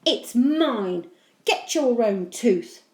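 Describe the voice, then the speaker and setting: A woman's voice: a little high, expressive, extremely slow, roomy sound, voice pushed up. A woman voicing a sweet, proud little boy, childlike and emphatic, in a storybook reading. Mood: defiant.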